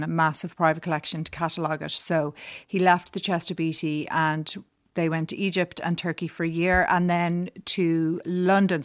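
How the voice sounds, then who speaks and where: Woman guest in a studio, lowish voice, dry sound, lots of ums and restarts. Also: dry recording. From Ireland. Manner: hesitant, many fillers and restarts. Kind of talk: radio interview, personal storytelling. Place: radio studio.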